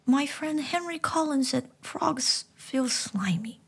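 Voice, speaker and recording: A woman voicing a shy young boy who stammers and speaks high and eagerly. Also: expressive, takes it slowly, gravelly, full character voice. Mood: timid eager.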